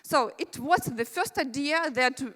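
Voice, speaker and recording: A woman with a Russian accent talks to a conference audience in a chatty, friendly way, adding asides as she goes. Her voice is a little high and bright. A large hall adds a long reverb behind her. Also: unhurried. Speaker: conference presenter. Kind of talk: conference presentation.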